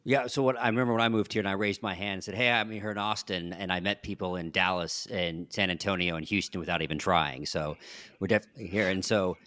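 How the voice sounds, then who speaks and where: A man's voice: low-pitched, steady tone, quick pace, pushed and bright, no echo. A man hosting a podcast interview, asking his questions in an easygoing, conversational way. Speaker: podcast host.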